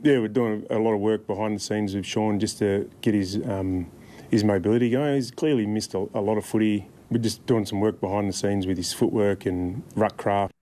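A man's voice: on the low side, fairly even intonation, normal speed, warm tone. A man speaking off the cuff as a football coach giving comments to the media.

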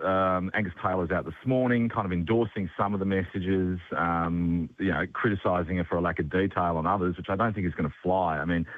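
Deep-voiced man on a tinny phone line. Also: no room sound. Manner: hesitant, many fillers and restarts. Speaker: political consultant, radio guest. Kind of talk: radio talk analysis.

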